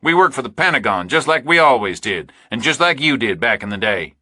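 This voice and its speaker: Man acting a hard, high-pitched menacing mercenary. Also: big pitch swings, brisk, bright, forceful tone, no echo. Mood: confrontational. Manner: combative, clipped tough talk. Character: hard, menacing male military contractor, ex-soldier.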